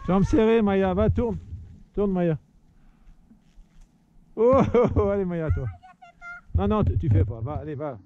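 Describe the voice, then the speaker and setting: A man's voice: high voice, lively intonation, unhurried, pauses often, dark tone. A man skiing and filming as he coaches his children, calling out short, repeated, encouraging commands. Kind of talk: shouted ski coaching while filming. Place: outdoor snowy mountain ski slope and forest. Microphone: action camera worn while skiing. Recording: dull lo-fi action-camera sound with faint wind.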